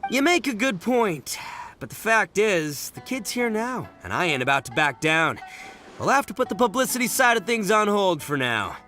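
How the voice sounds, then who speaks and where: A man's voice: sits high, big pitch swings, moderate pace, bright, forceful tone, full character voice. A man playing a brash, goofy young gang boss in a video game, boastful and theatrical. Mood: fired up. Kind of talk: video game character acting. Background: music.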